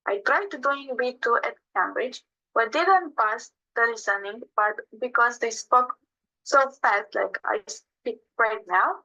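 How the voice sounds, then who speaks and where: A young woman whose first language is Romanian, telling her story of learning English at a webinar in earnest, slightly halting English. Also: fairly high pitch, pushed and bright, highs cut off. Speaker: webinar speaker. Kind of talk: personal testimony read aloud.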